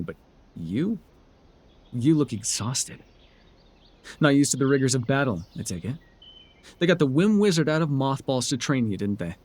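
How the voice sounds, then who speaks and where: A man's voice: medium pitch, breathy edge. A man playing a sardonic, telepathic supervillain in a dramatic audio roleplay, with a theatrical, taunting way of talking. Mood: mocking, smug. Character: sardonic male telepathic supervillain, menacing yet curious. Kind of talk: dramatic ASMR roleplay acting.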